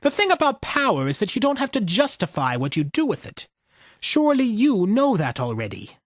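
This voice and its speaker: A man voicing a sardonic, battle-weary veteran with a deliberate, commanding manner in an audiobook. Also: high-pitched, huge pitch swings, dry recording, clean studio sound, pitched above the performer's own voice, heavily acted. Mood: mocking.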